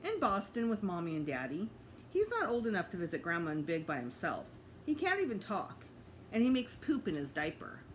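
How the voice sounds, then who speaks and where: A woman's voice: medium pitch, huge pitch swings, brisk, a little hiss or hum. A woman voicing a chatty, boastful little girl in a children's book read-aloud.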